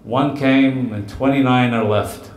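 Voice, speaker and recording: A man voicing a worried but clever male magician who explains things slowly. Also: mid-range voice, fairly even intonation, pitched below the performer's own voice, lightly acted. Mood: deliberate. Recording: roomy echo.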